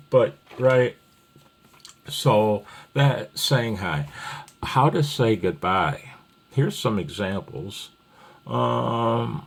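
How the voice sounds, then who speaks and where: A man with a distinctly low voice teaches in an informal, rambling way. He takes his time, speaking slowly and thinking aloud. His manner is folksy and a little apologetic, like a hobbyist walking a beginner through the basics. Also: fairly bright tone. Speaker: amateur radio hobbyist teaching Morse code.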